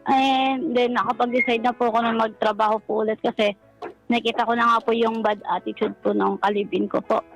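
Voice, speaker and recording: A woman's voice: on the high side, flat delivery, a little fast, low-quality recording. A woman with a Filipino accent calling in to a talk show, recounting personal troubles in a polite, deferential way. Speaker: caller. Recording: background music under the voice. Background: music.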